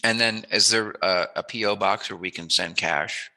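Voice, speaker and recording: A man's voice: low-pitched, normal speed, bright, forceful tone, poor audio. A man hosting an interview in a casual, joking way, with a playful, rambling, musing manner. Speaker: interview host.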